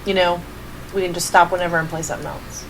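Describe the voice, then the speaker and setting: A woman's voice: fairly low pitch, fairly even intonation, quick pace, noisy background. A woman chatting casually on a game stream, teasing her co-player as she comments. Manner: teasing, playfully mocking. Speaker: co-host of a game livestream. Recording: music playing behind the voice. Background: music.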